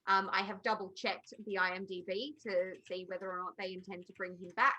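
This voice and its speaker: A woman's voice: medium pitch, little pitch movement, a little fast, bright, forceful tone. A woman on a podcast panel, talking casually and giving her opinions in an emphatic, insistent way.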